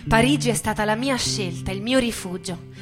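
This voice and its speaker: A woman giving a dramatic, scripted stage narration with intense, measured storytelling. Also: mid-range voice, over music. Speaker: actress and narrator.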